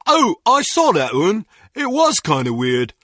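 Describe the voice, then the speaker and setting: A man's voice: sits high, huge pitch swings, measured pace, fairly bright tone, no echo, studio quality, heavily acted. An actor playing a casual, chatty, nosy man making small talk in a video game. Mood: casual, curious. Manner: casual, colloquial.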